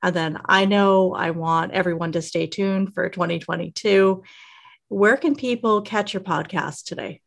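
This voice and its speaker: Low, creaky-voiced woman interviewing on a podcast. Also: unhurried. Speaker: guest podcast host, interviewer. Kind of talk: conversational interview.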